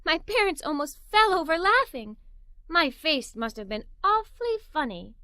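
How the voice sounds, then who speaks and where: A woman narrating a children's story aloud in an expressive, playful way. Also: extremely high pitch, moderate pace, no room sound, clean studio sound.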